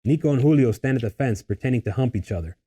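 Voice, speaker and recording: A man's voice: mid-range voice, lively intonation, fast talker, warm tone, husky. A man reading stage directions as scripted narration for a table read.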